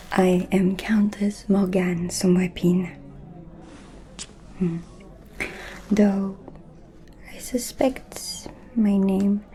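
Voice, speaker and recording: A woman playing an ancient aristocratic vampire countess in a whispered ASMR roleplay, elegant and probing, with a breathy voice and subtle menace. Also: medium pitch, dark tone, rough recording. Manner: slow, deliberate, probing, elegant.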